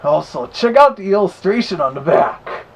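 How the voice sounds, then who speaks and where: A chatty cartoon wolf played by a man hosts a casual review vlog. The voice sits a little high for a male, with a gravelly creak and a husky, rough edge. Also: expressive, normal speed, heavily acted. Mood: chatty, easygoing. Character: Big Bad Wolf, chatty cartoon villain reviewing records. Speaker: vlog host.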